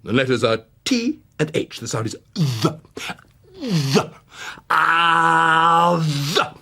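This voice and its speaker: Grandiose, swooping Mr Podsnap, pompous Victorian gentleman. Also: a little high, lively intonation, pitched above the performer's own voice, full character voice. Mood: pompous, self-satisfied. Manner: grandiose, haltingly drawn-out oratory. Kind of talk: theatrical dramatised reading.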